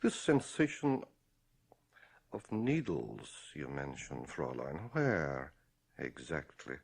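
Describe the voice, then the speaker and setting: An actor playing a grave German doctor in a radio drama, questioning in a deliberate, hesitant manner. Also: male voice, extremely low pitch, big pitch swings, creaky, darkish tone, breathy edge, heavily acted. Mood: probing. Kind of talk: 1980s radio drama acting.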